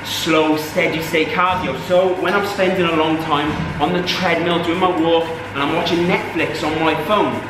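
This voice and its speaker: A young man presenting a parody fitness vlog in a deadpan, mock-serious way, filmed in a large gym. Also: on the high side, moderate pace, hardly any pauses. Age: young adult. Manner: mock-serious, dry delivery. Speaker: fitness vlogger. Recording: echoey gym with loud noise.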